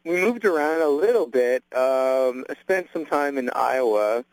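A man's voice: medium pitch, animated, measured pace, no room sound, poor audio. A young man in a casual interview, chatty and laughing often, with lots of fillers. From Canada. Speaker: actor guest.

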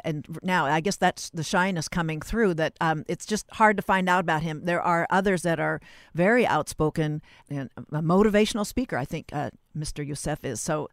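A woman hosting a radio interview, putting questions and remarks together in halting phrases. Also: lowish voice, no room sound, studio quality. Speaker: radio show host and interviewer.

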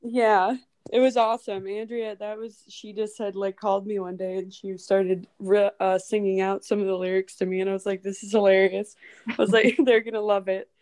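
A bubbly woman talks casually as a guest, laughing often. Her voice sits at an ordinary pitch and stays friendly and loose. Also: little pitch movement, normal speed, darkish tone. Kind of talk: casual video interview.

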